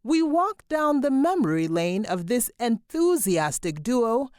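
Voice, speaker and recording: A woman narrating a documentary in a scripted, measured storytelling delivery. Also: fairly high pitch, big pitch swings, a little mellow, dry recording.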